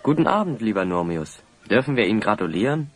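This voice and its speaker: An actor playing a confident, courteous adventurer hero in a German audio drama, polite and assured. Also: male voice, fairly low pitch, big pitch swings, fast, over music.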